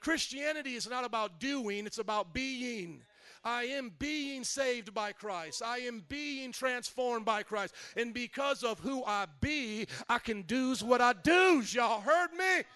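A man's voice: extremely high pitch, animated, slow pace, bright, forceful tone, clean tone, dry recording. A male pastor preaching with energy, drawing the congregation into call and response and stretching key words for emphasis. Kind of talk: sermon.

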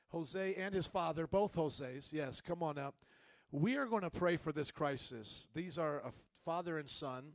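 A man with a fairly high voice preaches energetically, drawing his congregation into call-and-response. The sound is dry and close. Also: no room sound. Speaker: pastor. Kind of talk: sermon. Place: church.

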